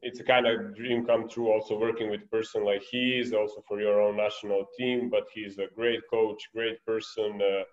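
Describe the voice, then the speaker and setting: A man's voice: lowish voice, flat delivery, moderate pace, hardly any pauses. A man in his thirties with a Serbian accent, a basketball coach speaking conversationally as a guest on a muffled, echoey video call. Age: 30s. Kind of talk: conversational online presentation. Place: bright room indoors by a window.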